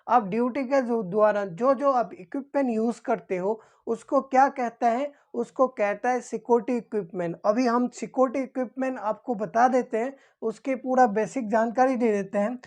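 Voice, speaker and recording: High-pitched woman teaching a lesson. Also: darkish tone. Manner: repeats key terms, dictating slowly as if writing them out. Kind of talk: explanatory lesson for security guards.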